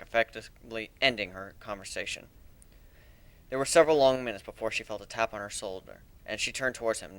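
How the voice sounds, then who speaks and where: A man reading a story aloud as a dramatic narrator, in a measured, deliberate way with expressive emphasis. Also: fairly low pitch, no room sound.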